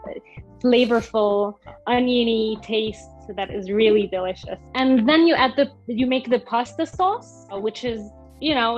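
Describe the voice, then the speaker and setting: A woman's voice: a little high, lo-fi sound. A young woman from Egypt chatting over a video call, explaining a dish step by step with frequent fillers and restarts. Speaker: guest food creator. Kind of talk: casual explanatory chat.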